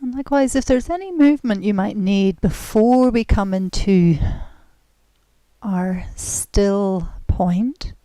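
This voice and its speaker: A woman guides a relaxation session at a very slow, unhurried pace. Her voice is mellow and soft, turning breathy as phrases trail off. She is gentle and soothing, recorded close and dry. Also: mid-range voice, expressive, no echo. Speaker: guided relaxation and yoga instructor. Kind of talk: guided relaxation, meditative instruction.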